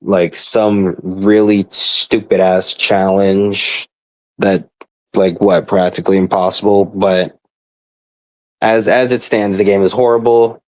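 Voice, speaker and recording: A man's voice: deep voice, fairly even intonation, slow, dry recording, low-quality recording. A young man on a casual, rambling gamer rant, exasperated, blunt and sweary.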